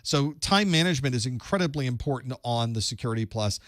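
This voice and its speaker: Man, call-in study group host, conversational, thinks out loud, dry close sound. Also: medium pitch, expressive, quick pace, no echo. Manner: conversational, thinks aloud with restarts. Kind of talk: live call-in Q&A advice.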